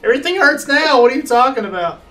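A man's voice: very high voice, lively intonation, fast. A man in his twenties hosting a casual gaming stream on a headset mic, joking about himself in a laid-back way. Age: 20s. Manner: laid-back, self-deprecating joker. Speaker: streamer host. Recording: faint game music behind.

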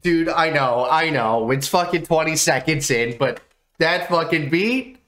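A young man with a stuffy, nasal voice from a cold, giving excitable, swear-heavy commentary while reacting to songs in a small home room. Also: on the high side, measured pace, soft background music. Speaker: music reaction streamer. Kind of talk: casual music reaction commentary.

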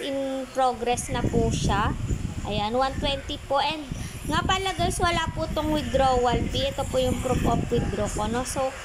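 A woman's voice: high voice, very noisy. A young adult woman vlogger from the Philippines, chatting casually to viewers with lots of fillers while she walks through her phone screen. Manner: chatty, filler-heavy, addresses viewers as guys. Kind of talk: casual screen-recording walkthrough.

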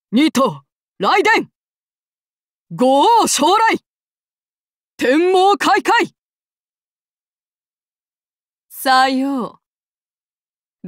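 A demon-blooded samurai lady for a video game, speaking with old-fashioned courtesy and a quiet menace underneath. Her pitch swings widely, and she drawls her words slowly. The recording is close and dry, with no room sound. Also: dry recording, exaggerated voice. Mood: fierce, battle cries. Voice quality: soft, formal speech turning to wild shrieks in battle. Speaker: voice actress for a game character. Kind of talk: game character voice lines.

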